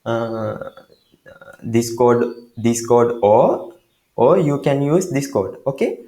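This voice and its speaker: Give me a man with a Sri Lankan accent talking slowly with a warm, dark tone. He explains the steps haltingly, stopping often to restart, and the room around him is echoey. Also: mid-range voice, lively intonation. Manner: hesitant, halting, many fillers and restarts. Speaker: tutorial maker. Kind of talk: screen-recorded software tutorial.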